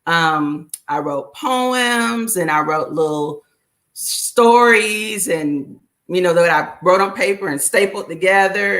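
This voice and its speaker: A middle-aged woman, a children's book author, talking casually as a guest, reflective and relaxed with many fillers. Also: medium pitch. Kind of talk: casual conversation.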